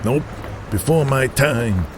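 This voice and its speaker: A man's voice: medium pitch, expressive, slow, background noise. An actor playing a curt, reluctant tough-guy pro wrestler in an audio drama. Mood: dismissive. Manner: curt, dismissive, profane.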